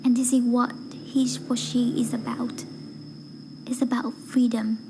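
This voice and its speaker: Higher-pitched woman reciting a speech evenly. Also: level tone, measured pace, creaky, background noise. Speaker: voice acting learner reading a speech. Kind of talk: rehearsed public speech recitation.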